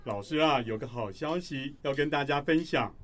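A man's voice: fairly high pitch, moderate pace, a bit bright, a little hiss or hum, close to an everyday voice. A man playing a cheerful schoolteacher in a radio drama, speaking encouragingly to his class.

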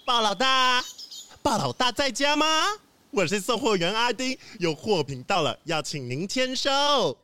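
A woman's voice: a little high, sing-song, a little slow, bright, forceful tone, faint music behind, heavily acted. A woman playing a polite, chatty, chirpy delivery worker in a children's audio drama. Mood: chirpy, admiring.

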